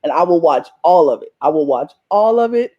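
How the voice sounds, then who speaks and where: A man co-hosting a podcast in casual chat, emphatic and animated as he speaks directly to fans. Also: fairly high pitch, fairly quick. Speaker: podcast co-host. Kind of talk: casual chat reacting to reality TV. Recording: dull lo-fi mic.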